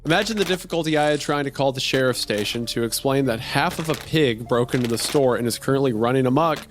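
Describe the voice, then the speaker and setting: A man's voice: mid-range voice, fast. A man narrating a strange first-person horror-comedy story in a wry, conversational way. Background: faint music.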